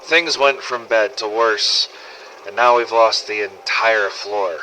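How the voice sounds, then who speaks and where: An actor playing a foul-mouthed, cynical zombie-apocalypse survivor, narrating a wry audio diary through an old cassette recorder filter. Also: male voice, on the low side, takes it slowly, sharp tone, poor audio. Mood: grim, subdued. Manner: sweary, sardonic, world-weary storytelling. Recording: old cassette tape with faint noise.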